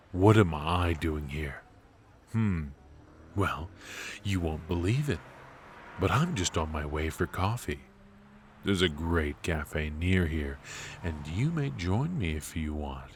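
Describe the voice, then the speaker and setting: Casting: male actor as ruthless noir mafia boss, extremely low voice, unhurried, teasing and smug, ASMR roleplay. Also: slight background noise, heavily acted. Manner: slow, smug, teasing, with drawn-out pauses.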